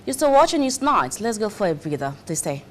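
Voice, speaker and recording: A woman anchoring an evening news program in a measured, formal delivery. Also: mid-range voice, sing-song, slight background noise. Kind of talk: studio news presenting.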